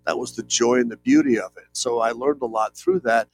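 A man's voice: medium pitch, fairly quick, vocal fry, poor audio. Late-50s male guest, earnest and rambling, plenty of fillers; faint background music. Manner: earnest, rambling, many fillers and "if you will". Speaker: interview guest, painter. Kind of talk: reflective conversational interview.

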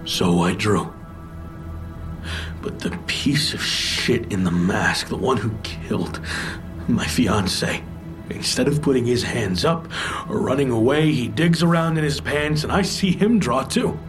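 An actor playing a guilt-ridden, bitter, grieving man in an audio drama, confessing in a heavy, halting way. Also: mid-range voice, natural voice. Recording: music playing under the voice.

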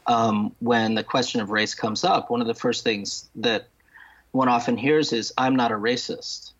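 Halting male guest, slightly muffled audio. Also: medium pitch, fairly even intonation, fairly bright tone, breathy edge. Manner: halting, hesitant delivery with repeated words. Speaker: guest, novelist and critic. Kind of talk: thoughtful interview discussion.

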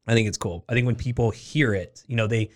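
A man from Oregon hosting a podcast interview, chatty and full of quick affirmations and restarts. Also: on the low side. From United States, Oregon. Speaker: podcast host. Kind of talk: casual interview.

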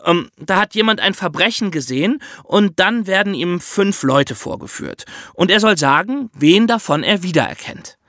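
A man's voice: high voice, lively intonation, moderate pace, hardly any pauses, sharp tone, no echo, studio quality. A man hosting a podcast, explaining things to his co-hosts in a conversational way with examples. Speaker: podcast host. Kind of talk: conversational true-crime explainer.

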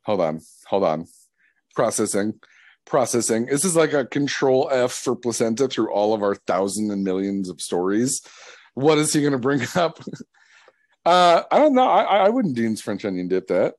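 A man's voice: mid-range voice, big pitch swings, normal speed, dry recording, studio quality. A man in his thirties hosting a podcast, playful and teasing, laughing often. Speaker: podcast host. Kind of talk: casual chat.

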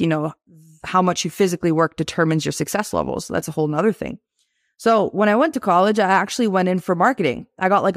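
A young woman from Albania, raised in Boston, chatting energetically as an interview guest, quick to laugh. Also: fairly low pitch, no echo, clean studio sound. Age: 20s. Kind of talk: casual interview talk.

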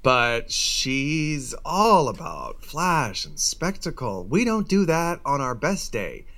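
An actor playing a fretful, highbrow musical writer in an audio comedy, hesitant and anxious. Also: male voice, very animated, slow pace, fairly bright tone, heavily acted. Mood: reluctant, uneasy. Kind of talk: comedic audio drama acting.

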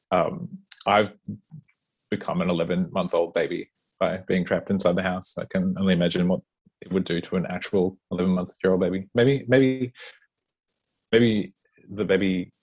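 Deep husky male host. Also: normal speed. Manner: relaxed, chatty, with frequent fillers. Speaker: podcast host interviewing an author. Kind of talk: casual interview.

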